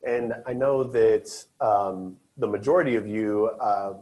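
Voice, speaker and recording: A man's voice: lowish voice, unhurried, dark tone, low-quality recording. A man moderating a student panel at a conference session, earnest and loose with fillers and restarts.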